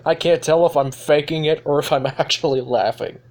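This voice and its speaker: A man's voice: fairly high pitch, steady tone, brisk. A young male video host giving casual, goofy commentary as he demonstrates an app, prone to giggling. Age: child or teenager.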